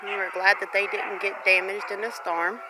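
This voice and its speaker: A woman's voice: medium pitch, little pitch movement, moderate pace. Southern US woman vlogging in outdoor noise. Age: 40s. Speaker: homestead vlogger. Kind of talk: casual walk-and-talk narration. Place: outdoors on wooded rural property. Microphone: handheld camera or phone while walking. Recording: noisy outdoor handheld recording with clicks.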